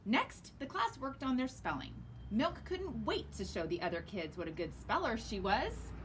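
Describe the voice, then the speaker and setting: A woman reading a children's story aloud in a very expressive, emphatic narrating style. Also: fairly high pitch, moderate pace, a little hiss or hum, low-quality recording. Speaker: storyteller reading a picture book.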